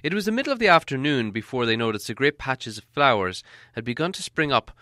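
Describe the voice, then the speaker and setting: A man narrating an audiobook in a steady, measured storytelling manner. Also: mid-range voice, no room sound, clean studio sound. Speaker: audiobook reader.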